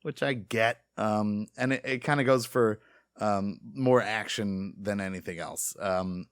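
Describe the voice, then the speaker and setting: A man's voice: lowish voice, measured pace, smooth, no room sound, clean studio sound. A man hosting a casual podcast discussion, talking in a rambling, opinionated way. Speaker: podcast host leading the discussion. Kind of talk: casual chat.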